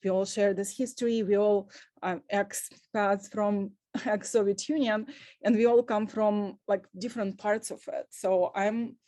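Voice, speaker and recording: Halting woman. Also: medium pitch, fairly even intonation, dark tone, no echo. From Russia. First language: Russian. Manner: earnest and halting, with many pauses. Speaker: panelist. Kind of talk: panel talk on a video call. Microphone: video call.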